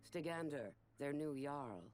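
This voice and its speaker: An actor playing a measured, solemn storyteller who gives dramatic scripted narration for a game. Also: male voice, on the high side, animated, darkish tone, a little hiss or hum. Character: measured, solemn male storyteller of warring factions.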